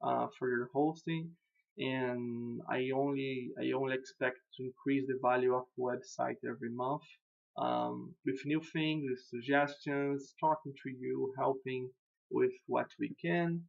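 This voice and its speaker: A gravelly-voiced man whose first language is Portuguese talks through a website design in loose, informal English. He repeats himself, restarts sentences and chuckles at his own slips. The recording sounds a little dull and lo-fi. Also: medium pitch, steady tone, unhurried. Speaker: web designer. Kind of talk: casual screen-recorded walkthrough.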